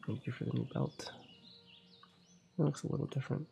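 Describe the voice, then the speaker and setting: A young man who is fighting a cold murmurs his way through a gameplay session in a hushed ASMR voice, drifting into whispers. His voice is thick with gravelly fry and has a dark, mellow tone. A faint noise floor sits behind him. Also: mid-range voice, very animated, brisk. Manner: quiet, slipping into whispers. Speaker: ASMR gaming channel host. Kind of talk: soft-spoken ASMR gameplay commentary.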